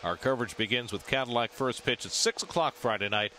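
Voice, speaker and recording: A man's voice: mid-range voice, a little fast, sharp tone, slight background noise. A man hosting a post-game show, reading a scripted recap of the game. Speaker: show host. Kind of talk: scripted sports wrap-up narration.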